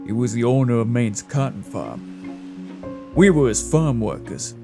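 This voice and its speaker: Comic-dub drama: male actor as bitter, blunt farm labourer, agitated, mid-pitched, clear music underneath. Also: lively intonation, unhurried. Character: rough, bitter, vengeful male farm worker. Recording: voice over clear background music.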